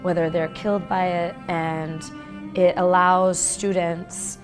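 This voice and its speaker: Lower-voiced woman teacher explaining, music under. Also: level tone. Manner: explanatory, measured. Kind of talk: documentary interview narration.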